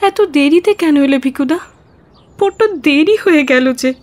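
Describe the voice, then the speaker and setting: A woman's voice: sits high, expressive, unhurried, darkish tone. A woman playing a sorrowful young newly married village girl in a radio drama, speaking in a plaintive, pleading way.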